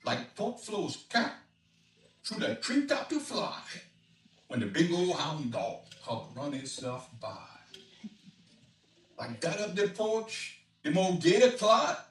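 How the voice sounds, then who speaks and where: Man acting old Cajun storyteller, thick dialect, excitable storybook delivery, swooping high, echoey distant recording. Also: takes it slowly, heavily acted. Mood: excited, hollering. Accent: Cajun Louisiana. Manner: dramatic, swooping, hollering, dialect grammar. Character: excitable old Cajun storyteller spinning a bayou Christmas tale. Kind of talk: animated storybook dialect reading. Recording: echoey room, distant mic, noisy.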